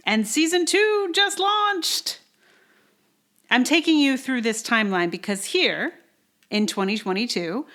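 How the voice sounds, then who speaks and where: A woman's voice: mid-range voice, a bit bright. A woman in her forties hosting a podcast in a conversational, wry way, recorded on a travel mic in a slightly echoey house. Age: 40s. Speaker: podcast host reading own blog post. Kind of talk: casual intro, then read-aloud personal essay. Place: indoors in a sparsely cushioned house. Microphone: portable travel microphone.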